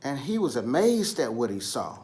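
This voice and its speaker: Animated male storyteller, lively swooping pitch. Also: slight background noise. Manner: dramatic pauses and emphatic, drawn-out repetition. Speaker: storybook reader.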